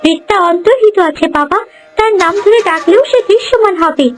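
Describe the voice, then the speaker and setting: A woman's voice: very high voice, lively intonation, fairly quick. A woman voicing a cunning, power-hungry young demon sorceress with a silky manner in a cartoon story. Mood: eager. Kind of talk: dramatic cartoon story dialogue. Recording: music behind the voice.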